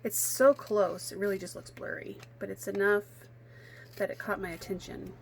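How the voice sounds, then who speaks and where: A woman talks her way through a card-making tutorial at home. Her voice sounds slightly froggy and hoarse, and her pitch sits in the middle. She chats loosely and teases herself as she works, with a faint room hum behind her. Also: fairly even intonation, normal speed. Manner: chatty, self-deprecating, thinks aloud while working. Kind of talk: casual craft tutorial chat. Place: home craft table, indoors.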